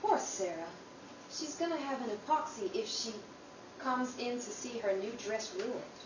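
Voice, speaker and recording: A woman's voice: on the high side, animated, measured pace. A woman playing an earnest, grateful youngest sister in an old time radio drama about the Depression. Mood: anxious, fond. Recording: distant, echoey room with clear background noise.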